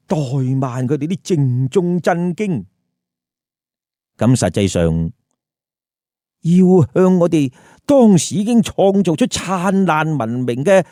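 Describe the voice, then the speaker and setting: A man's voice: a little high, mellow, no echo, clean studio sound. A man narrating a humorous story with commentary in a theatrical, colloquial, rhetorical way. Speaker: storyteller and host.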